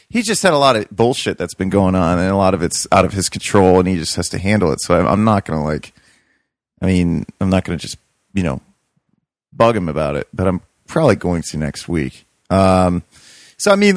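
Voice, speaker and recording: A man's voice: deep voice, no echo, studio quality. A young man chatting casually about his urban farm, rambling with lots of fillers and restarts. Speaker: podcast co-host, urban farmer. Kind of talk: casual chat.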